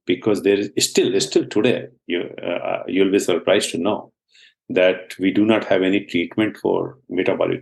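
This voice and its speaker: A man in his sixties from India with an Indian accent and Hindi as his first language, a scientist guest who explains his work earnestly and often pauses on fillers in a podcast interview. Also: low voice, vocal fry, low-quality recording. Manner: earnest, explanatory, frequent uh fillers, laughs at times.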